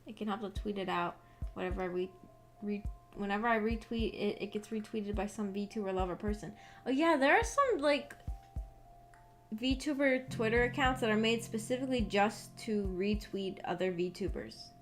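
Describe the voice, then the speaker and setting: A woman's voice: mid-range voice, moderate pace. Woman chatting casually over prominent background music. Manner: rambling, hesitant, thinking aloud. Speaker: VTuber streamer chatting with viewers. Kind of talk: casual livestream chat.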